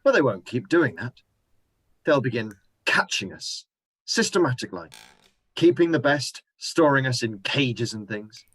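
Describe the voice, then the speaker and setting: A hardened ex-soldier living rough after the invasion, played by a man. He talks in a brooding, grim way, circling back to his plan with growing fervor. The sound is dry and close, with no room around it. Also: medium pitch, big pitch swings, a little slow, fairly bright tone, no echo, full character voice, low-quality recording. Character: hardened male ex-soldier survivor, scheming and grim. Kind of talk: amateur radio drama acting. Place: home room, recorded remotely.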